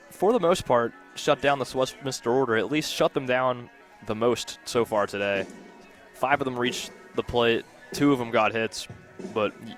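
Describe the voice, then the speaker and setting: A man working as color commentator on a live radio softball broadcast, analysing play at an outdoor ballfield. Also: on the low side.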